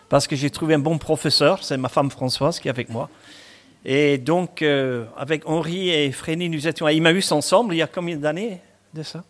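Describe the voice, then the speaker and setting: A man's voice: on the high side, fairly bright tone. A man in his sixties with a Scottish accent, preaching a chatty sermon sprinkled with asides and humor. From Scotland. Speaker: pastor.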